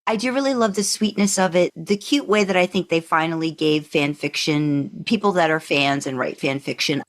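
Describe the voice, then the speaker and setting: A woman co-hosting a podcast, chatting casually as a fan and explaining her views in a measured, agreeable way. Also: sits low. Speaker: podcast co-host. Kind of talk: casual fan chat about a TV series.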